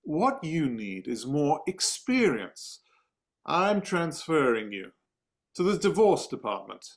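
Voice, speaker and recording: A man's voice: on the high side, big pitch swings, takes it slowly, exaggerated voice. A man playing a stern, dismissive office boss with a curt, condescending manner in a dramatic table read. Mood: scolding.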